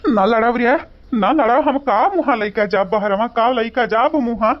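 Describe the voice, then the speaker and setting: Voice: mid-range voice, moderate pace, highs cut off, natural voice. A performer voicing a fretful older village father in a cartoon, pleading with his family in an Awadhi accent. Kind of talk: cartoon voice acting.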